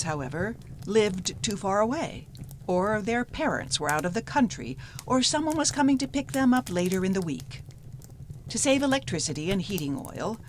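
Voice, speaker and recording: A woman reading a scary folktale as a storyteller, with a breathy, husky voice and a suspenseful, deliberate manner that often drops toward a hush. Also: fairly low pitch, a little hiss or hum. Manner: suspenseful, deliberate, often dropping to a hushed whisper. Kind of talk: dramatic scripted narration of a scary folktale.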